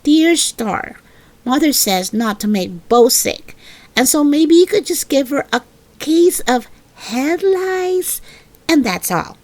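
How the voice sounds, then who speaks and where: A woman's voice: sits high, animated, darkish tone, pitched above the performer's own voice. A woman voicing an eager, bratty little girl in a children's storybook, dramatic and pleading, with childlike emphasis. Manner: childlike, emphatic, drawn-out wishing and protesting. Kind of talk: animated children's storybook reading.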